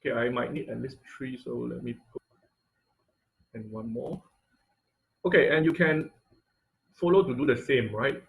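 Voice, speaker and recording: A man teaching an online class in an informal, halting tutorial style, often checking in with listeners. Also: medium pitch, gravelly. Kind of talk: informal tutorial with live demonstration. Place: online video call class.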